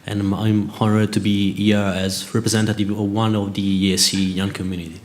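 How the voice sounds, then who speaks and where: Deep-voiced Italian man presenting. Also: little pitch movement, a little fast, slight background noise. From Italy. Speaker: conference presenter. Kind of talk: conference presentation.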